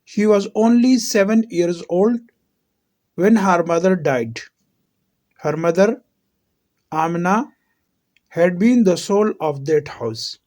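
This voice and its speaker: A man narrating an emotional moral story in a solemn, deliberate manner with dramatic pauses. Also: high voice, animated, a little mellow.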